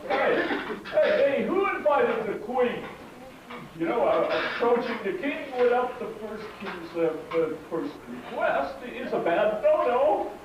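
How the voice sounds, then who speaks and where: A man's voice: very high voice, full character voice. A man playing a fussy, boastful villainous royal official in a puppet show, stammering, repeating himself and fawning. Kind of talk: comic puppet show acting. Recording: roomy, distant, dull, noisy live stage recording.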